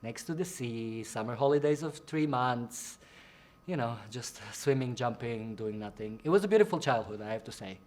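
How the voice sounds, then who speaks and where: A young man from Croatia, a drag performer, answering interview questions in a chatty, playful way, jumping into high voices to act out what other people said. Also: expressive, normal speed, a little mellow.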